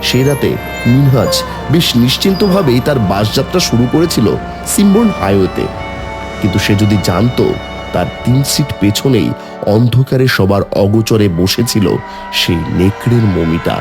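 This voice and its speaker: A man's voice: medium pitch, big pitch swings, moderate pace, over music. A man narrating a scripted horror story in the first person, in a dramatic, suspenseful manner.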